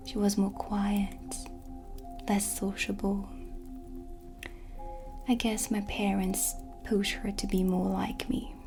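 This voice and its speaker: A gentle, wistful witch played by a woman whispers very softly and slowly in an ASMR fantasy roleplay. Her pitch stays fairly even, breathy and soothing, with music playing behind her. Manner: slow, soothing, unhurried.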